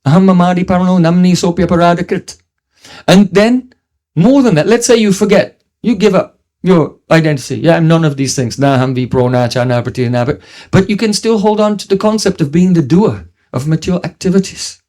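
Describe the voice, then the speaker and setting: A middle-aged man from New Zealand teaches a devotional class in a fairly high voice. He speaks earnestly, restarts his sentences often and breaks into chanted Sanskrit verses. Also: fairly quick, dark tone. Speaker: spiritual teacher. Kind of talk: devotional lecture.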